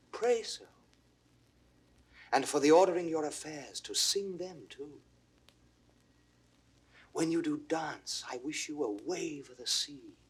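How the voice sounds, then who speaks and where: A man playing an ardent, devoted young prince in classical Shakespearean verse, with a British RP accent and tender, deliberate phrasing. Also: fairly high pitch, a bit bright, slightly rough, full character voice. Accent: British Received Pronunciation.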